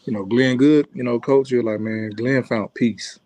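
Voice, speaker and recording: A man's voice: mid-range voice, steady tone, moderate pace, darkish tone, no echo. A man hosting a podcast in an easygoing way, full of fillers and addressing the audience as y'all. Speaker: podcast host. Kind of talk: casual chat. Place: podcast studio.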